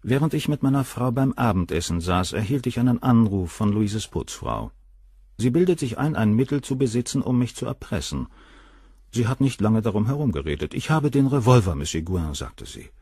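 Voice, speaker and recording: Haughty gaunt professor, cold and condescending, swooping intonation, husky, radio crime play. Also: male voice, medium pitch, big pitch swings, moderate pace, darkish tone, dry recording, clean studio sound. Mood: coolly matter-of-fact. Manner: condescending, articulate, controlled. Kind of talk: German radio crime drama acting.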